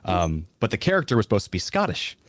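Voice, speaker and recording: Guest voice actor, male, very low voice, fast and chatty, dry close sound. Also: wildly swooping pitch, no room sound. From American South. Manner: quick, laughing, chatty. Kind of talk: casual interview chat.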